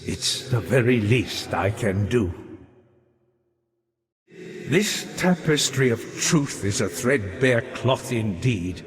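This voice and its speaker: An actor playing a weary, melancholy scholar for a video game, speaking in measured, theatrical, literary phrases with a wry, reflective air. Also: male voice, mid-range voice. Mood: courteous, grateful. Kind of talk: scripted video game character dialogue. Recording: long hall reverb, faint noise.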